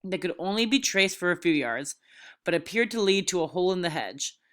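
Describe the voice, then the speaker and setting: A woman's voice: on the low side, animated, fairly quick. A woman teacher reading aloud and explaining an assignment to her students in an informal, direct way.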